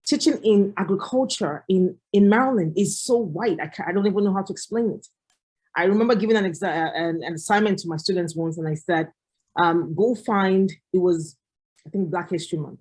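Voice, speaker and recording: A middle-aged woman from Nigeria, a farmer and agriculture lecturer, telling stories with lively animation in a panel discussion. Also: on the low side, husky, poor audio. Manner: animated storytelling.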